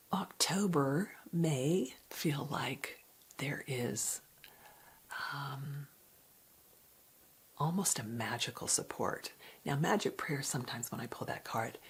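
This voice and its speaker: A woman giving an ASMR card reading in a soft, breathy whisper, with a gentle, reflective, unhurried manner. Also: very deep voice, a little hiss or hum. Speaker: card reader.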